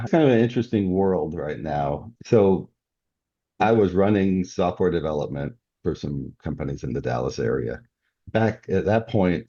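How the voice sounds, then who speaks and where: Older male interviewee, extremely low and rough-edged, conversational, lo-fi sound. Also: mellow. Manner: chuckles often as he talks. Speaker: interview guest, author. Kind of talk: casual podcast interview. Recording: dull, muffled lo-fi audio.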